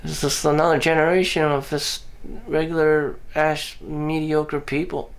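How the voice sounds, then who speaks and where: A man speaking in a somewhat high register delivers a meandering, unscripted monologue for his vlog. He talks bluntly, swears offhandedly and circles back on himself with constant fillers and false starts. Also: level tone, moderate pace. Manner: meandering, frequent "you know" fillers and restarts. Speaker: vlogger. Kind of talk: rambling personal monologue. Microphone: audio-only recording.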